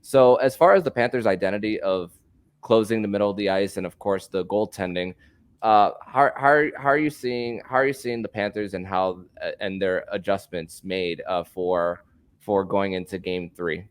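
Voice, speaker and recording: Low-voiced, halting male sports podcast host. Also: no room sound. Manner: halting, repeats words while forming thoughts. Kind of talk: casual sports podcast chat.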